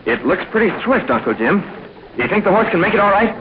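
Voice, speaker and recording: An actor playing an eager, confident young male adventurer in an old-time radio serial, with a brisk, plucky delivery. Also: sits high, big pitch swings. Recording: noisy, muffled old radio broadcast.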